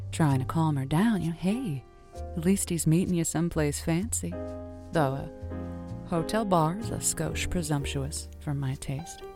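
Radio call-in hostess, lowish female voice, wry, playful, theatrical storytelling, audio drama, music behind. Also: darkish tone. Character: wry, theatrical woman hosting a late-night lonely-hearts radio show. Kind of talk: semi-improvised audio drama monologue.

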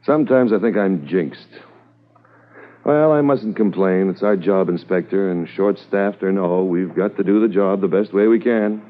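Man playing senior police commissioner, very low voice, world-weary, formal, 1950s radio drama, muffled narrow-band recording. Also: normal speed, lightly acted. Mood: resigned, reassuring.

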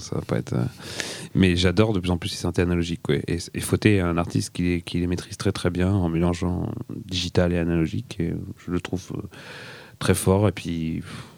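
A man's voice: sits low, level tone, a little fast, gravelly, a little mellow, dry recording. A French-speaking man, a musician guest, chatting casually on a radio show and rambling with many restarts.

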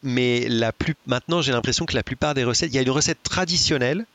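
A man telling stories in a casual chat, hesitant, with many pauses and restarts. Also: medium pitch, a bit bright, dry recording. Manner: hesitant, many filler sounds and restarts, storytelling. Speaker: podcast guest.

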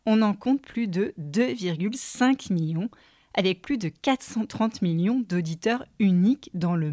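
A woman's voice: medium pitch, darkish tone, dry recording, clean studio sound. A woman hosting a podcast lesson, explaining in a playful, teasing way and addressing listeners directly. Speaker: podcast host and coach.

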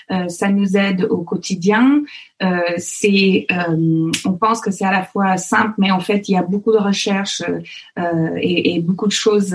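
Halting woman. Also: mid-range voice, low-quality recording. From Canada (Alberta). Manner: halting, with many fillers and restarts. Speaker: interview guest, author. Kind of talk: casual interview talk.

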